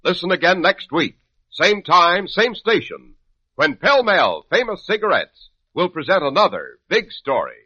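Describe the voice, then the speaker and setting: A male commercial announcer from 1950s radio, forceful and slightly high. He delivers slogans emphatically with very animated pitch on a muffled old recording. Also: a little slow, slightly rough.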